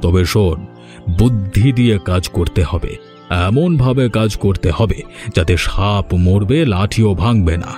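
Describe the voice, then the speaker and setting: A man's voice: on the low side, slow, darkish tone, soft background music. A man playing a scheming, domineering older village landlord in a cartoon, with a commanding, condescending manner. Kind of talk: Bengali cartoon voice acting.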